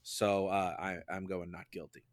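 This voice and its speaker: Lowish-voiced man, close dry sound. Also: flat delivery, normal speed, dry recording. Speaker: podcast juror, jury foreman. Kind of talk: casual film discussion.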